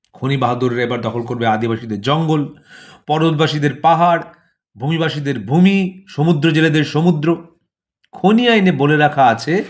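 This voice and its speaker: A man reading a scripted satirical story, phrasing it rhetorically with repetitions and lists of words set apart. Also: on the high side. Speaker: narrator of an audio play.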